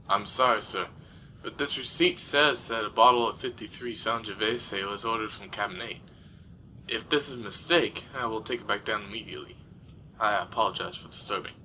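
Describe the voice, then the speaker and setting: A man's voice: on the low side, fairly quick, bright, forceful tone, slight background noise, low-quality recording. A man playing a deferential, formal steward on an ocean liner in a radio drama. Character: polite young steward on a liner. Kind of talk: fan radio drama acting.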